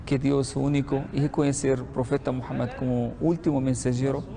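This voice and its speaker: A Brazilian man calmly explaining a process, with hesitant fillers. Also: mid-range voice, a little fast, vocal fry, a crowd in the background. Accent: Brazilian Portuguese. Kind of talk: explanatory talk.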